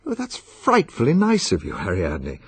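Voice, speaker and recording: An actor playing a courteous, well-bred gentleman with an upper-class English accent in a radio drama. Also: low-pitched, wildly swooping pitch, moderate pace, no echo, full character voice. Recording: clearly noisy radio recording, clicks.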